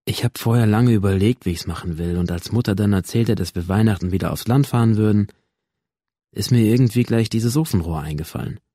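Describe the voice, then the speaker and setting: A man's voice: on the low side, a little fast, dark tone, dry recording, clean studio sound. An actor playing a bitter, withdrawn young man who recounts his past to a doctor in a matter-of-fact, understated way in a radio drama. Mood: matter-of-fact, guarded. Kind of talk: radio drama, introspective first-person narration.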